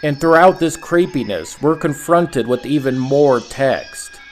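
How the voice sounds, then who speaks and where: A man narrating scripted horror commentary as a host, in a measured storytelling manner with rhetorical asides. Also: mid-range voice, animated, loud music behind the voice. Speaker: video essay host and narrator.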